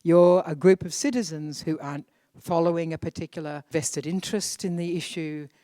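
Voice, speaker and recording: A facilitator calmly briefing a group, speaking in an instructive way. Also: medium pitch, normal speed, dry recording, studio quality. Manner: calm, instructive.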